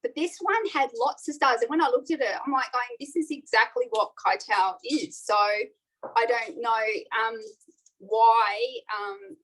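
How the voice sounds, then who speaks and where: A woman's voice: fairly high pitch, expressive, unhurried, a bit bright, poor audio. A middle-aged woman, chatting her way through a live online cooking demo with frequent ums. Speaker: cooking class host.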